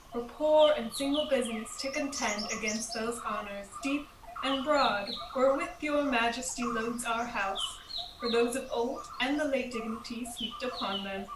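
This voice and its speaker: A woman plays a courteous, deferential noblewoman in a Shakespeare radio drama. The voice is slightly high and formal. It sounds echoey and set back from the mic, amid birdsong. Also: a little slow, lightly acted. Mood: gracious, deferential. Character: Lady Macbeth as falsely gracious, deferential hostess. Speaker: student actor. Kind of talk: amateur Shakespearean radio play acting. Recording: roomy, distant, noisy, birdsong behind.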